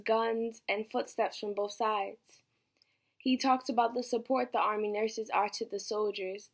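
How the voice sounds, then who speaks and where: A woman playing a worried 1940s American working wife in a quiet, scripted first-person monologue. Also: medium pitch.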